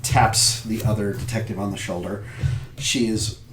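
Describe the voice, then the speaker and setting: A man narrating a tabletop game as game master, improvising as he goes in halting phrases. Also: fairly low pitch, steady tone, a bit bright. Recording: roomy echo with background noise.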